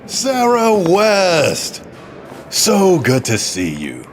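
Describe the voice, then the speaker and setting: An actor playing a slick, scheming, domineering city mayor in an audio drama, with a politician's smooth, bossy manner. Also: male voice, high-pitched, huge pitch swings, extremely slow, slight background noise, full character voice. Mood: gushing, ingratiating. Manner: smooth politician's charm turning to bossy irritation.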